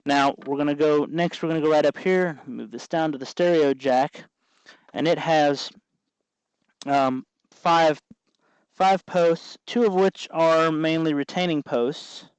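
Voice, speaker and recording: The guy at the next workbench in a hobby workshop, patiently talking you through each step in a smooth, slightly high voice, close and dry. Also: unhurried, no echo, rough recording. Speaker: host of a DIY electronics tutorial. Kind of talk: casual hands-on tutorial, explaining while working.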